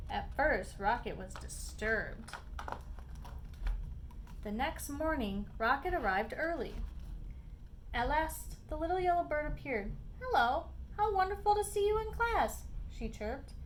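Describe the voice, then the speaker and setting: A woman reading a children's picture book aloud in an expressive, deliberate narrating style. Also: high voice, slight background noise, low-quality recording. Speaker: storybook reader.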